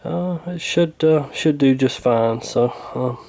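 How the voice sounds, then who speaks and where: A man's voice: mid-range voice, slow pace, a little mellow. A man talking casually and hesitantly through a hands-on repair, in a folksy, unscripted way. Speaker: radio repair hobbyist, presenter.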